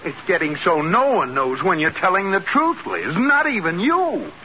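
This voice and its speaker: An earnest, scolding husband in an American radio sitcom of the 1940s. His voice is high for a man and leaps about with exasperation. The sound is muffled with a faint hiss. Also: wildly swooping pitch, moderate pace. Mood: exasperated scolding.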